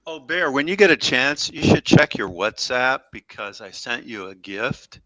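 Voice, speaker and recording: Bright-toned man helping out on a livestream. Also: medium pitch, animated, measured pace, rough recording. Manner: helpful, gives step-by-step suggestions. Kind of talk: casual livestream chat.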